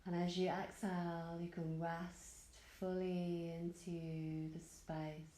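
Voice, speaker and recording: A woman yoga teacher leading a guided meditation in a gentle, soothing manner, leaving long pauses between phrases. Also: lowish voice, flat delivery, echoey room. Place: indoor room at a retreat.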